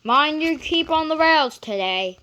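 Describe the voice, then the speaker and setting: A woman's voice: high-pitched, animated, a little slow, pushed and bright, voice pushed up, heavily acted. A woman voicing a cheeky male steam engine in a children's storybook, calling out teasing taunts.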